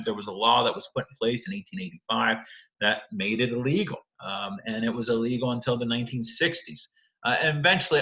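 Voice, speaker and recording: Male lecturer, bright, forward voice, informal and explanatory, conversational with frequent qualifiers, roomy echo on the recording. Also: mid-range voice. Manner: conversational, often hedging and checking with "right?". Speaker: literature lecturer. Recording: roomy, echoing sound.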